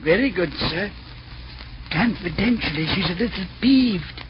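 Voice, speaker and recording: Fussy proper butler, very high voice, 1940s radio style, muffled noisy recording. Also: male voice, animated, unhurried. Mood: prim, flustered. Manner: prim, formal, confiding.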